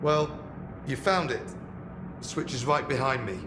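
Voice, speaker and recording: A man's voice: mid-range voice, measured pace, music in the background, close to an everyday voice. A man playing a cocky, blunt, taunting soldier in a video game cutscene. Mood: wry, casual. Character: cocky hostile male soldier loyal to his orders. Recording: echoey room, noisy ambience.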